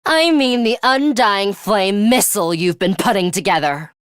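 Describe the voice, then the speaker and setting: A woman voicing a cool, guarded young female fighter in a video game, with a measured, composed and imperious way of speaking. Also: medium pitch, smooth, no echo, clean studio sound, lightly acted. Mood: coolly confrontational. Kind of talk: English video game voice acting, dramatic anime-style dialogue.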